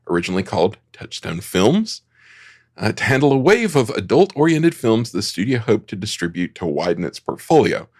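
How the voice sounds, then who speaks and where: Gravelly, low-voiced man hosting a podcast. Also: no echo, studio quality. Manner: conversational, joking asides. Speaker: podcast host. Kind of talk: semi-scripted film history narration with casual asides.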